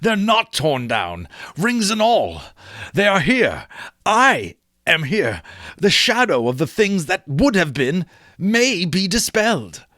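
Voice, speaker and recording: Casting: male reader as an excitable old man, high, swooping voice, breathless exclamations, classic storybook character. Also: takes it slowly, no room sound, clean studio sound, exaggerated voice. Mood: tearful relief.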